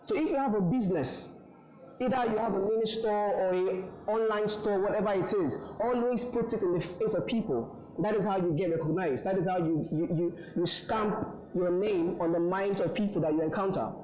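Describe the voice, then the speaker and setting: An earnest young man gives an encouraging speech to a room of graduates. His voice sits a little high and rings around a reverberant hall. He searches for words, with fillers and quick repeats along the way. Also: a little mellow. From Ghana. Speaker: invited speaker. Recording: echoey room.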